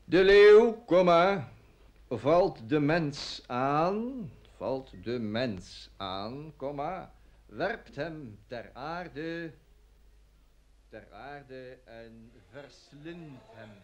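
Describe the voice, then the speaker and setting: A man's voice: on the high side, animated, drawn-out words. An actor playing an emphatic man in a radio play who dictates, repeating phrases and speaking out the punctuation. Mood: solemn, emphatic. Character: slow, emphatic man dictating about the lion. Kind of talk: radio drama acting.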